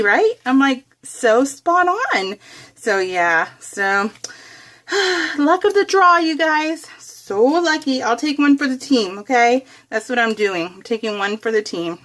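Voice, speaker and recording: An American woman with a slightly high voice chats casually to her viewers. She is giggly and self-deprecating, often laughing through her words. Her talk rambles loosely, like an informal craft vlog. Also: fairly bright tone. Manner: self-deprecating, wanders off topic. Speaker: craft vlogger.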